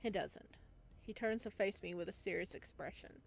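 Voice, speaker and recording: A woman's voice: medium pitch, normal speed, vocal fry. Woman reading a visual novel, faint background noise. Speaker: visual novel let's-player. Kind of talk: expressive read-aloud of game dialogue with casual asides.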